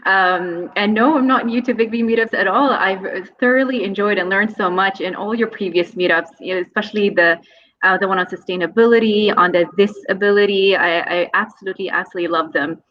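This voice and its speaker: A woman from Pakistan, a mother of two, talking with warmth and enthusiasm as a guest in an online interview. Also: mid-range voice, brisk, lo-fi sound.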